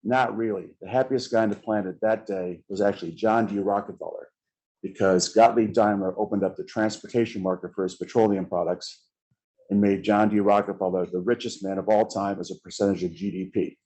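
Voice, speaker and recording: A middle-aged man introducing himself as a panelist at a conference table, measured and filled with "uh" pauses. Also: on the low side, steady tone, a little mellow, poor audio. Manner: measured, many "uh" fillers, gestures with hands.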